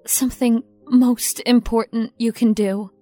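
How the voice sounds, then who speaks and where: A woman's voice: a little high, fairly even intonation, measured pace, dark tone, no room sound, soft background music. A woman playing a young, ambitious actress in an audio drama, earnest and self-dramatizing over a scheming streak. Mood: calculating. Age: young adult. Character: young ambitious actress, wounded innocence masking cold scheming.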